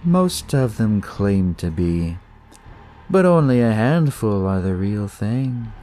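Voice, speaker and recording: A man voicing a smug young devil-cult leader in an audio story, with drawn-out, ceremonious pronouncements. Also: fairly low pitch, lively intonation, warm tone. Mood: ominous, grandiose. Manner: slow, drawn-out, ritual pronouncements.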